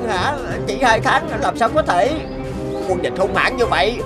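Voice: medium pitch, expressive, a little slow, loud music behind the voice, natural voice. An actor playing a loyal, cautious army officer in a cartoon fairy tale, formal and earnest. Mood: worried.

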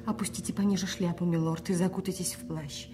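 A woman's voice: fairly low pitch, fairly even intonation, fast, close to an everyday voice. An actress playing an anxious, devoted young lady in a radio drama, urgent and secretive in manner. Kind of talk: theatrical radio drama acting. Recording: radio play with music behind.

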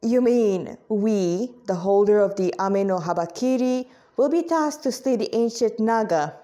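A woman's voice: fairly high pitch, lively intonation, a little slow, mellow. A woman reading the part of a formal, unenthusiastic doll-like swordswoman in a story.

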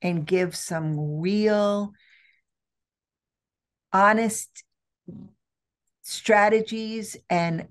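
A middle-aged woman speaking earnestly as a guest on a video call interview from an office, with frequent restarts. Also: medium pitch. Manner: earnest, slow and deliberate with many restarts. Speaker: trade show CEO, guest. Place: office with windows. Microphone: video call webcam.

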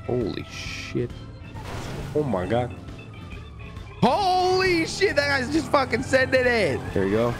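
A man giving excited, boisterous gameplay commentary as a video host, with frequent shouts and swearing. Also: extremely high pitch, slow pace, long pauses, over music.

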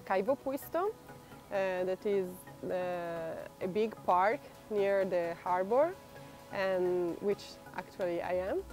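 Hesitant young Italian woman, soft voice. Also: mid-range voice. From Italy. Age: young adult. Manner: hesitant, many fillers, laughs while talking. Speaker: exchange student. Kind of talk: documentary interview. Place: outdoor park, sitting under a tree.

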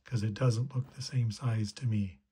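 Husky, bright-toned man talking through repairs. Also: fairly low pitch, fairly even intonation, normal speed. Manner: thinking aloud, tentative. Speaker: repair video maker. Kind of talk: casual hands-on tutorial commentary.

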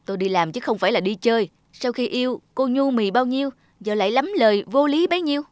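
A man's voice: very high voice, animated, quick pace, no room sound, clean studio sound. A man playing a stern, reproachful husband and father in a dramatic cartoon. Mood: angry, blaming.

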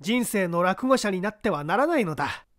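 A man's voice: sits high, animated, fairly quick, fairly bright tone, no echo, studio quality, pitched above the performer's own voice. A man voicing an earnest unemployed young man who argues his case with eager intensity in a mystery reading. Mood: desperate.

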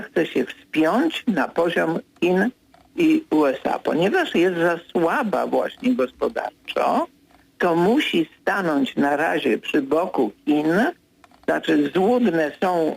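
An older man analyses politics as a guest in a radio studio. His voice sits a little high for a man, with a raspy, gravelly grain. He is emphatic and keeps driving his main points home. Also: rough recording.

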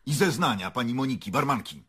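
An actor playing an unflappable prosecutor who questions formally and insistently in a radio drama. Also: male voice, medium pitch, expressive, fairly quick, pushed and bright, husky. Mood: pressing, accusing. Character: probing, unflappable male prosecutor leading a murder investigation. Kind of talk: Polish radio drama acting.

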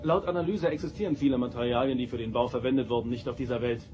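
An actor playing a dutiful starship first officer in a sci-fi audio drama, formal and matter-of-fact. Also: male voice, mid-range voice, rapid-fire, music in the background, close to an everyday voice. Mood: factual.

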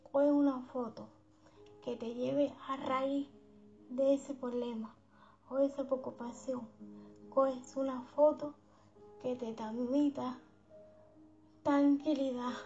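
A woman hosting a guided meditation for sleep, speaking in a soothing, unhurried, affectionate manner. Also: high voice, mellow. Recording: dull lo-fi sound under background music.

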